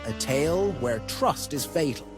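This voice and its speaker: An actor playing a brooding narrator, reading scripted noir mystery narration over music. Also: male voice, a little high, animated, moderate pace, close to an everyday voice. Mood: suspenseful.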